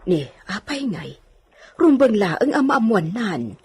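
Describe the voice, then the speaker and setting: An actress playing a concerned household confidante who counsels and urges like an elder sister in a radio drama. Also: female voice, on the high side, very animated, measured pace, a little mellow, husky, exaggerated voice. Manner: urging, counselling.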